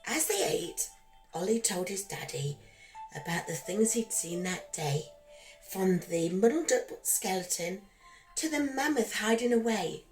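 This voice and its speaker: A woman narrating a rhyming children's bedtime story in a gentle, expressive, singsong way, as a storyteller for young listeners. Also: medium pitch, measured pace, low-quality recording. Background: background music.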